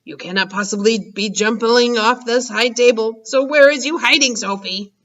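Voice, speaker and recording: A woman doing a gentle kindly giant. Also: a little high, normal speed, far from the mic, muffled recording, natural voice. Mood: coaxing. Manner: earnest, coaxing, playful made-up words. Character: big kindly gentle male giant, odd grammar.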